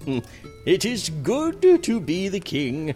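A man's voice: sits high, wildly swooping pitch, slow, darkish tone, faint music behind, heavily acted. An actor playing a pompous, drunken, self-indulgent prince acting as king in a theatrical, haughty medieval audio drama.

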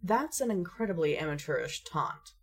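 A woman's voice: fairly low pitch, sing-song, normal speed, breathy edge, exaggerated voice. A woman playing a haughty, wordy young lady gamer with a dry, mock-formal manner in an audio drama. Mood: haughty teasing.

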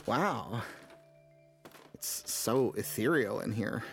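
A man playing a hapless, stubbornly optimistic ad man in a comic audio drama, with a halting, awkward, stammering delivery. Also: on the low side, lively intonation, vocal fry, a bit bright, soft background music, full character voice. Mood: awkward, nervous. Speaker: actor.

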